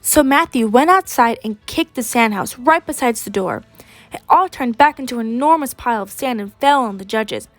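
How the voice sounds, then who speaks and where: A woman's voice: fairly high pitch, normal speed, no echo, soft background music. A young woman in her late teens reading a children's picture book aloud expressively. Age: teenager, high school senior. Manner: animated, acts out characters. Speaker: read-aloud storyteller.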